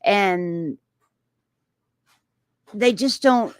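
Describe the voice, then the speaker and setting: Slow-talking Texan woman streamer. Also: medium pitch, dry recording, clean studio sound. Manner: rambling, many "um"s and restarts. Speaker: livestream host reading viewer comments. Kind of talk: casual livestream chat.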